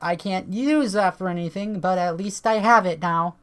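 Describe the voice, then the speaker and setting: A woman with a tired voice, chatting casually and swearing now and then as she reads on-screen text and walks through software at her computer. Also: lowish voice, moderate pace. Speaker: tech video creator.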